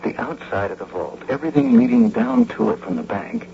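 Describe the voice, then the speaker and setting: Anxious, gravelly radio drama accomplice. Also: male voice, very high voice, huge pitch swings, exaggerated voice. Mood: businesslike. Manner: halting, flustered, protesting. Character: hesitant, anxious architect friend drawn into crime. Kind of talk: 1980 radio drama acting. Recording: muffled, noisy old radio broadcast.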